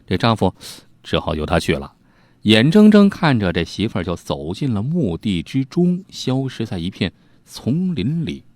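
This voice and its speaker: A man's voice: on the low side, wildly swooping pitch, moderate pace, darkish tone, no echo. A man telling an old crime tale in a dramatic, conversational way, with theatrical suspense and folksy asides. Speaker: storyteller.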